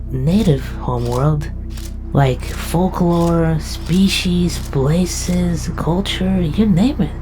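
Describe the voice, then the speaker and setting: A woman playing a sleepy, protective young knight who talks in a drowsy, affectionate way. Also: sits low. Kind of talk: casual roleplay dialogue.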